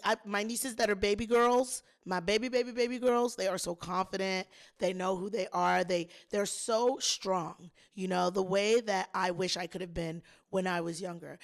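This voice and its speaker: A woman hosting a podcast, a little hoarse, chatting and telling a story straight to her listeners with plenty of emphasis. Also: medium pitch. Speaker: podcast host. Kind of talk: casual solo storytelling.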